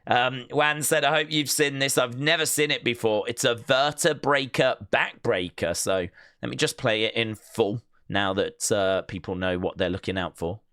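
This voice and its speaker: Male host, casual sports-entertainment commentary, forceful bright tone, very dry close sound, chatty step-by-step explainer. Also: mid-range voice, moderate pace, no room sound. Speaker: host of a wrestling news show. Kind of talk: casual news commentary and clip reactions.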